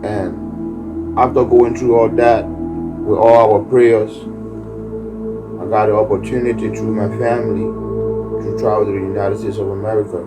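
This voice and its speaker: A man from Liberia, telling his story earnestly and haltingly, in a preacher-like way. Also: lowish voice, level tone. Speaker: community activist and musician. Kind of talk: earnest personal testimony. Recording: distant voice over loud music.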